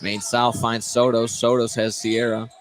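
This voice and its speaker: A man doing live play-by-play of a high school basketball game, often repeating and correcting himself as he goes. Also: level tone. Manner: halting, repeats and corrects himself mid-call. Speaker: play-by-play commentator. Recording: noisy live courtside radio broadcast.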